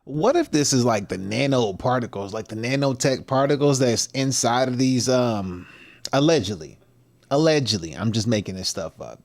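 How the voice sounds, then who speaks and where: A man's voice: mid-range voice, expressive, moderate pace. A man hosting a livestream, reacting with loose, speculative commentary full of fillers, restarts and slang. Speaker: livestream host reacting to a video. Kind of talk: casual rambling commentary.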